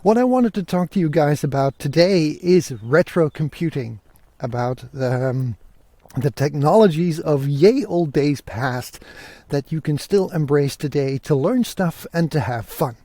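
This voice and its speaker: Bright-voiced man podcasting, relaxed and dry. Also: a little high, a little slow, no room sound. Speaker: podcast host. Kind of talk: casual solo podcast chat. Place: outdoors, walking through countryside fields. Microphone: portable podcasting rig.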